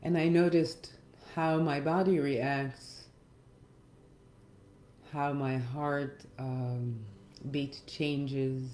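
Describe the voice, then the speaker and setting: A middle-aged woman gives a reflective guided meditation talk in a gentle, measured manner with pauses. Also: extremely low pitch, flat delivery, a little hiss or hum. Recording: roomy echo, faint music underneath. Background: faint music.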